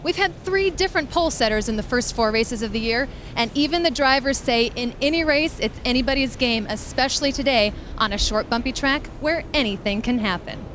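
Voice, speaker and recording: A woman's voice: on the high side, fairly quick, few pauses, fairly bright tone, slight background noise. A woman reading a scripted feature segment as a pit reporter on a race broadcast.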